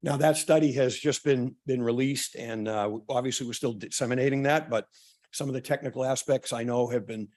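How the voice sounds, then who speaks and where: Hoarse man with many ums. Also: medium pitch, a little mellow. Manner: halting, many ums and uhs, restarts. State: losing his voice, clearing throat. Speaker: town official presenting at a public forum. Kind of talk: prepared remarks at a public meeting.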